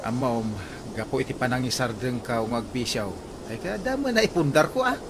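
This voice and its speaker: Man acting a boastful, animated Ilocano buddy. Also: mid-range voice, brisk, background noise, lightly acted. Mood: proud, jovial. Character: boastful, jovial drinking pal who claims reformed vices. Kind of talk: Ilocano radio comedy drama acting.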